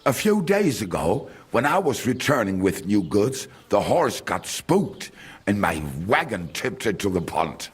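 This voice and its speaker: A husky, animated German merchant. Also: male voice, on the low side, measured pace, exaggerated voice. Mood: rueful. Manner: slips German phrases into speech, condescending. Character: grumbling male German village merchant, brusque and worldly. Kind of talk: video game character dialogue.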